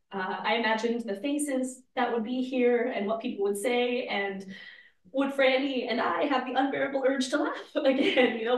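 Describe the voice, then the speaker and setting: A woman playing a wistful, grieving woman remembering her lost love, halting and reflective in a staged play reading. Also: fairly high pitch. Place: indoor room, live play reading. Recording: echoey room, far from mic.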